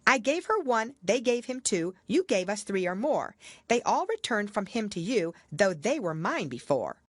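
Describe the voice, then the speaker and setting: A woman's voice: mid-range voice, very animated, moderate pace, dry recording, pitched above the performer's own voice. A woman voicing a nervous, deferential male rabbit herald in a storybook, with formal, careful delivery. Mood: reciting. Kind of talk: storybook character reading.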